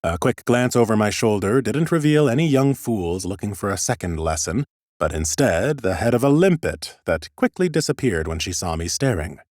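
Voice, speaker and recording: A man reading scripted first-person fantasy narration for an audiobook in an expressive, theatrical way. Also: fairly low pitch, normal speed, dry recording, clean studio sound.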